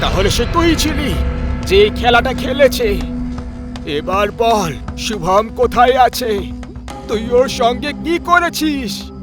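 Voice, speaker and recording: A man's voice: very high voice, animated, slow pace, over music, heavily acted. A man voicing a stern, commanding old grandfather in a dramatic horror cartoon. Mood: angry, accusing. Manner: authoritative, ordering, dramatic.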